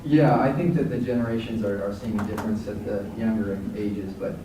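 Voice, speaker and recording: A young man running for Congress answers audience questions at a luncheon, earnest and halting, with many fillers. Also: lowish voice, fairly even intonation, a crowd in the background. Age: young adult. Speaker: congressional candidate. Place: banquet room at a luncheon. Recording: echoey room, from far off, noisy.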